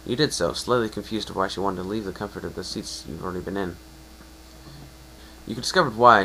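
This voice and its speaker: A male narrator with a lowish voice reads fiction aloud in a dramatic storytelling style. It is an amateur reading, with some noise in the recording. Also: sharp tone, rough recording. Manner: stumbles and rereads phrases.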